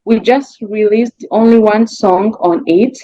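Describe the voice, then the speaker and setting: A woman's voice: medium pitch, takes it slowly, dark tone, dry recording, highs cut off. A woman from Rwanda with a Rwandan accent answers interview questions as a singer, searching for words and repeating phrases in a conversational way.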